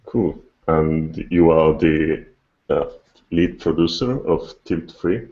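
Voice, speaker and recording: A man hosting a casual podcast interview over an internet call, speaking haltingly. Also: a bass voice, dark tone, lo-fi sound. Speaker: podcast host. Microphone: online video call.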